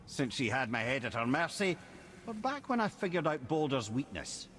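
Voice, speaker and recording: A man voicing a talkative, befuddled but wise talking severed head in a video game, eloquent and excitable. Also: mid-range voice, moderate pace, a little hiss or hum. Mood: baffled. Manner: excitable, eloquent, easily baffled. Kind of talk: video game character acting.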